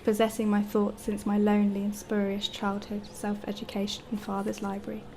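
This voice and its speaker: A woman's voice: medium pitch, monotone, fairly quick, mellow, a little hiss or hum, close to an everyday voice. An actress playing an anguished, brooding young woman writer in a radio drama, speaking in solemn, formal literary monologue. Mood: desolate, brooding. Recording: faint music under the voice.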